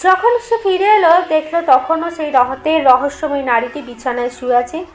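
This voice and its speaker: A woman reading a scripted eerie story as a narrator, in an expressive, measured storytelling manner. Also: high-pitched, slight background noise. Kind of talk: dramatic scripted narration of a horror tale.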